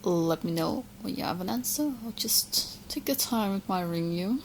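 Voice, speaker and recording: A woman playing a sweet, obsessed young witch girlfriend in an ASMR roleplay, teasing and smug with coy, halting asides. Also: mid-range voice, animated, a little hiss or hum, rough recording. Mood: smug, adoring.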